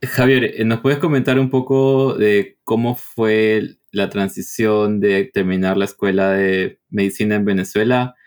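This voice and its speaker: A man hosts a casual interview and poses friendly, drawn-out questions. He sets up context before asking. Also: on the low side, steady tone, darkish tone, soft background music. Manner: friendly, asks long framing questions. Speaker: podcast co-host, interviewer.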